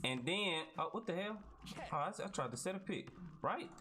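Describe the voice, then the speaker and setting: A man's voice: on the high side, animated, moderate pace, pushed and bright, soft background music. An American man streaming a game, telling a comedic tall tale in a rambling, repetitive way with vocal sound effects. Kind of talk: casual comedic tall-tale storytelling over gameplay. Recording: game crowd noise behind the voice. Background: basketball video game sounds.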